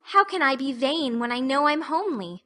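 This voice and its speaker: A woman's voice: high voice, expressive, normal speed, a bit bright, voice pushed up. A woman voicing an earnest, dreamy, talkative orphan girl with effusive, flowery speech in an audiobook. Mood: plaintive. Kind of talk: audiobook acting.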